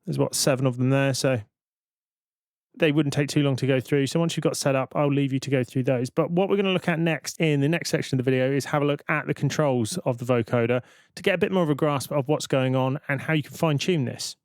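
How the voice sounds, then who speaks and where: Guy doing a casual software tutorial, explains stuff as he goes, mid pitch, really dry recording. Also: fast, no room sound. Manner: explanatory, thinking aloud. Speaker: tutorial presenter. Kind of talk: informal software tutorial narration.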